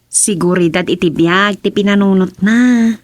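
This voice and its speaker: A woman's voice: medium pitch, moderate pace, dry recording, natural voice. A woman playing an inquisitive, gossipy townswoman in a radio comedy-drama. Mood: curious, gossipy.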